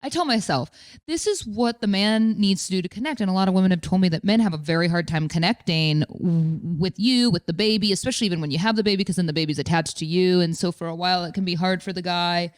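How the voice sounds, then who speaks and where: A loud, outspoken woman talks in a low register, with a bassy weight to her voice. She is chatting openly on a podcast, blunt and unfiltered. The sound is close and dry, with no room around it. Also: fast, dry recording. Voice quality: loud with some bass. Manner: candid, blunt, swears freely. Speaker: podcast co-host. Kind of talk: casual chat.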